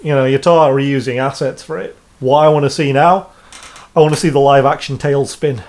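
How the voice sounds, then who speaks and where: A man's voice: medium pitch, fairly even intonation, brisk, darkish tone. A man co-hosting a casual film podcast, jokey and rambling with lots of fillers. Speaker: podcast co-host. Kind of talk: casual chat about films.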